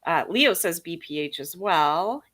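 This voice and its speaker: Low-pitched woman chatting casually. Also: animated, unhurried, fairly bright tone. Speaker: urology clinic panelist. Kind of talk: casual panel chat.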